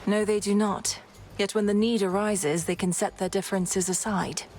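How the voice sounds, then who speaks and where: A woman voicing a calm, resolute young witch princess in a video game, speaking in a measured way. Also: mid-range voice, close to an everyday voice. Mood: composed. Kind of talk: video game character dialogue. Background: game music.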